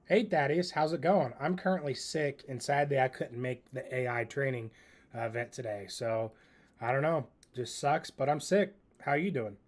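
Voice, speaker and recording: A man demonstrating software in a casual spoken tutorial. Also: mid-range voice, normal speed.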